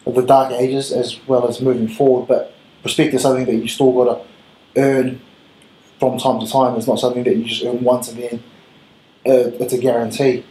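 A man in his thirties with a New Zealand accent, a rugby head coach giving earnest, measured answers in an interview. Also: medium pitch, flat delivery, warm tone. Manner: earnest, measured, with filler ums. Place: small room with gray wall, seated at table. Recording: roomy echo, faint room hiss.

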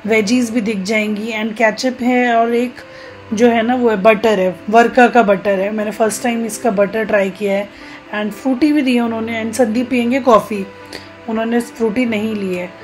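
A woman's voice: on the high side, fairly even intonation, normal speed, faint music behind, rough recording. A woman in her thirties from Uttarakhand, India, narrating a casual vlog in a chatty way, talking directly to viewers. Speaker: travel vlogger.

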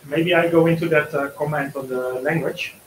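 A man answering questions as an author and teacher in a book discussion, often pausing mid-sentence. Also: on the high side, steady tone. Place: room with an audience, on a video call. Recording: roomy, distant mic with faint hiss.

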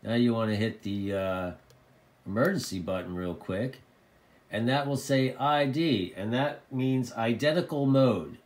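A man's voice: medium pitch, measured pace, a little mellow. A man informally explaining and demonstrating a product, repeating phrases for emphasis. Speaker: presenter of a radio tutorial. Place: indoors at a desk.

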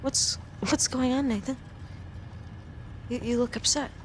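A woman playing an upset, defiant teenage girl in a video game drama, speaking in an emotional, halting way. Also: a little high, steady tone, slight background noise, lightly acted. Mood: anxious. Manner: emotional, halting, pleading and protesting. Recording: music under the voice.

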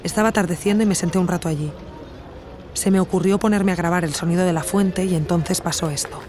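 An actress with a Spanish accent from Spain playing an earnest, reflective woman investigator who narrates her audio documentary in a measured, intimate way. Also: fairly low pitch, steady tone, noisy background, natural voice. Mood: reflective, absorbed. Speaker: podcast narrator and protagonist. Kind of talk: intimate scripted narration and natural dialogue in an audio drama.

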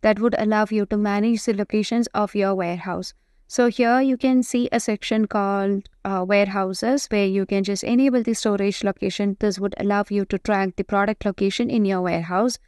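A woman giving explanatory software tutorial narration, speaking in a halting, semi-improvised way. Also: mid-range voice, fairly even intonation, dry recording, studio quality. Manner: explanatory, halting delivery with frequent hesitations.

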